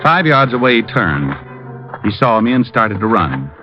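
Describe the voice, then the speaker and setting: An actor playing a wry, stubborn private detective with an American accent, deadpan and wisecracking in 1940s radio drama style. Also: male voice, fairly low pitch, normal speed, over music. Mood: wry narrating. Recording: narrow-band old radio drama recording.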